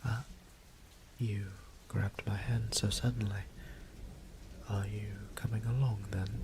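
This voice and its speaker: A man playing a gentle, apologetic old vampire priest in an intimate audio drama, speaking in formal, hesitant phrases with a breathy half-whisper. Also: lowish voice, noisy background. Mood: hesitant, reluctant. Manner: hesitant, formal old-fashioned wording, tender.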